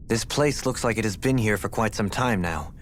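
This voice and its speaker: A man's voice: on the low side, moderate pace, a little hiss or hum, lightly acted. An actor playing a refined, scholarly man who talks in a formal, articulate, slightly lecturing way.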